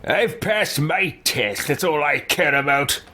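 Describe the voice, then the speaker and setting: A man's voice: a little high, lively intonation, takes it slowly, sharp tone, heavily acted. A man voicing a haughty, grouchy, power-hungry old wizard in video game roleplay, theatrical and curt. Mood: annoyed, dismissive.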